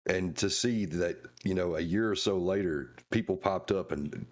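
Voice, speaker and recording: Man, extremely low voice. Folksy, emphatic guest on a casual panel. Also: moderate pace. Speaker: guest video creator.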